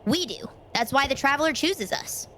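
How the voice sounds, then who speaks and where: Woman acting a high, bright, intense female warrior. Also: lively intonation, moderate pace, a little hiss or hum. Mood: firm. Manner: forceful, urgent. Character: intense female space warrior demanding answers.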